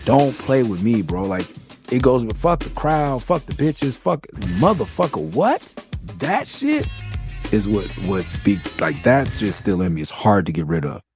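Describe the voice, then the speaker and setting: A man with a low, nasal voice tells stories in a loose, profane, animated way. He hosts a casual podcast. Faint music sits quietly behind him. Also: measured pace. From Los Angeles. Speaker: podcast host and rapper. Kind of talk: casual storytelling about his album.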